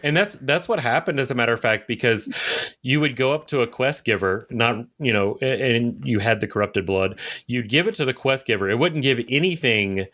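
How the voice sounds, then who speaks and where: A man's voice: medium pitch, normal speed, fairly bright tone. A man co-hosting a podcast, talking casually and explaining things about video games. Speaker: podcast co-host.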